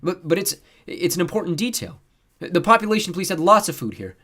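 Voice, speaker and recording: A man voicing an earnest, easily exasperated teenage boy who explains things haltingly and insistently as an amateur newscaster in a story. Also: high-pitched, sing-song, pushed and bright, pitched above the performer's own voice, heavily acted.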